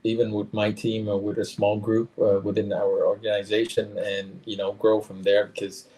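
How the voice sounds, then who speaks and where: A man's voice: fairly low pitch, monotone, normal speed, dark tone, low-quality recording. A middle-aged man, a guest talking casually and hesitantly with many fillers and restarts. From France. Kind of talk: casual conversation.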